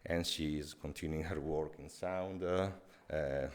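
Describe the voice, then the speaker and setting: A man with an extremely deep voice introduces the keynote speaker at a conference. He stops and restarts often and fills the gaps with many "uh"s. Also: steady tone. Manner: halting, many fillers and restarts. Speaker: conference chair.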